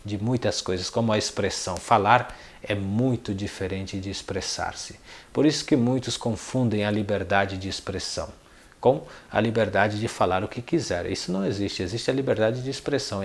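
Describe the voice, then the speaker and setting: A man from Paraguay with a Paraguayan accent, a non-native speaker giving an informal lesson to viewers in a didactic way, repeating words and posing questions. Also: lowish voice. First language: Spanish and Guarani. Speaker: language teacher and video channel host.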